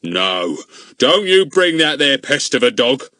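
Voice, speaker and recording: A man's voice: a little high, flat delivery, moderate pace, a bit bright, dry recording, clean studio sound, voice pushed up, lightly acted. A man voicing a roaring, blustering village policeman. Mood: angry, bellowing. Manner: roaring, spluttering.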